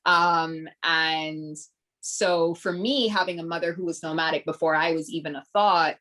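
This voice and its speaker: Podcast guest: low-pitched woman with a Barbadian accent, earnest and reflective. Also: steady tone, moderate pace, sharp tone. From Grenada. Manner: flowing, earnest, reflective with many ums. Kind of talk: casual interview talk.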